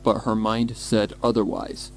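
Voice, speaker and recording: A man narrating a scripted dark story in a measured, dramatic way. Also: fairly low pitch, fairly even intonation, gravelly. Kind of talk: scripted story narration, horror fan fiction. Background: background music.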